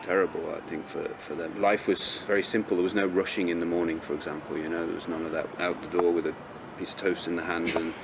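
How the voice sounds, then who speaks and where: A man's voice: sits low, little pitch movement, fast talker, vocal fry, few pauses, background noise. A middle-aged man gives reflective, hesitant interview answers, repeating words as he searches for phrasing. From Chester, north-west England. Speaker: interview guest.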